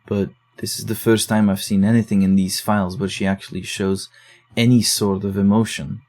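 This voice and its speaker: A thoughtful investigator reasons aloud in a low, mellow voice. He sounds analytical and measured, turning details over one at a time. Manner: reflective, analytical. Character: thoughtful young male case-file investigator.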